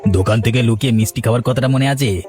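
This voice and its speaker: A man's voice: medium pitch, fast, darkish tone, soft background music, lightly acted. A man voicing a good-natured village working man in a cartoon, familiar and plain-spoken. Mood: playful. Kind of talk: cartoon dialogue.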